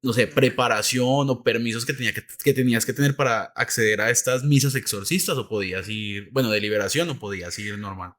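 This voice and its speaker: A Colombian man from Huila co-hosts a podcast in a relaxed, conversational way. His voice sits at an ordinary middle pitch. He nods along with short replies and then jumps in eagerly with his own points. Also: fairly quick, hardly any pauses. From Huila, southern Colombia. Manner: attentive listener, frequent backchannels, then joins in eagerly. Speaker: podcast co-host. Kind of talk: casual conversational chat.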